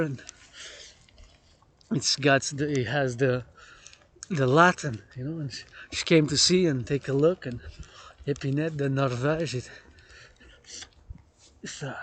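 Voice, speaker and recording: A man with a Quebec French accent vlogging on a mountain path outdoors, declaiming and repeating phrases in English and French. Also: fairly high pitch. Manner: declamatory and theatrical, repeats phrases in both languages. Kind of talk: walking vlog, mixing English and French.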